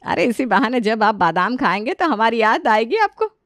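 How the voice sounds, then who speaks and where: A woman's voice: a little high, big pitch swings, normal speed, fairly bright tone, no room sound, clean studio sound. A woman voicing a doting, hospitable middle-aged mother in a story, effusive and reassuring.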